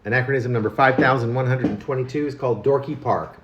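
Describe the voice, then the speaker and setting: A man reading his own reflective personal writing as a poet, musing and halting, with self-corrections along the way. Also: mid-range voice, steady tone, fairly bright tone, reverberant, dull audio, slight background noise.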